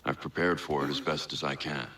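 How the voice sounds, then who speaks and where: A man acting a grave, middle-aged vigilante mentor in a video game cutscene, speaking tersely and solemnly in a gravelly voice. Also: a bass voice, little pitch movement, brisk, noisy background. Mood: grim, resolute.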